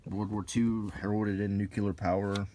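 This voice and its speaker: A man giving unscripted, ASMR-style commentary as he browses, in a musing, halting way with pauses. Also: lowish voice, flat delivery, dark tone, slight background noise. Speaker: video host reviewing an old magazine.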